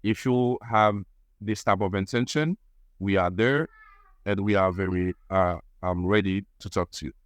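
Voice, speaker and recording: A man whose first language is French, answering interview questions in halting English as he searches for words. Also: low voice, fairly even intonation, dry recording. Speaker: guest, association vice president.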